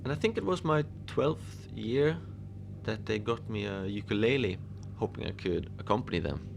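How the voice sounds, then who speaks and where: A man playing a lonely, gentle Antarctic facility manager, rambling hesitantly and apologetically in a cozy fiction podcast monologue. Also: lowish voice, expressive, moderate pace, gravelly, background noise. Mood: wistful, friendly. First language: Norwegian. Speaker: podcast narrator.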